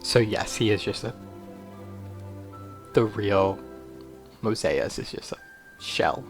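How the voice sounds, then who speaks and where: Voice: low-pitched, slow. Offhand gamer chatting over game music. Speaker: player. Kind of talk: casual gameplay commentary.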